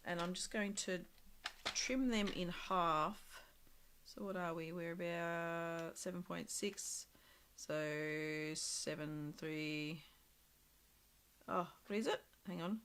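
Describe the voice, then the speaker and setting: A woman with a somewhat low, slightly croaky voice runs a relaxed live craft demonstration. She talks things through as she works, full of small pauses and easy little laughs. A faint hiss of noise sits behind her. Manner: chatty, thinking aloud with many ums and small laughs. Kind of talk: casual live craft demo.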